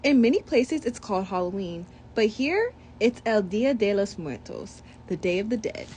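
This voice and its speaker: A woman's voice: medium pitch, moderate pace, slight background noise. A young woman reading a children's picture book aloud, breaking off for chatty asides. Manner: reads with lively asides and commentary. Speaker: storybook reader.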